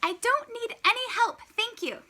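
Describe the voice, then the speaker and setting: Woman as a squeaky-high plucky little girl. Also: animated, normal speed, bright, forceful tone, slight background noise, exaggerated voice. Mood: polite, guarded. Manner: singsong, exaggerated, theatrical. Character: clever, kind, plucky storybook little girl.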